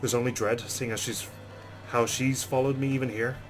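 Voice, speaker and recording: A man's voice: mid-range voice, fairly even intonation, normal speed, soft background music, low-quality recording. A man hosting a let's play, reading visual novel narration dramatically and reacting with exclamations.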